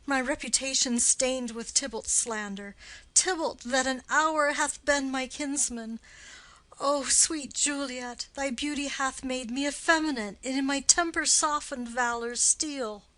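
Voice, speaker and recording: A woman's voice: high-pitched, measured pace, bright, forceful tone, poor audio. A woman playing a passionate young man turned avenger, reading classical drama in an earnest, declamatory way. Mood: troubled. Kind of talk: classical stage-play reading.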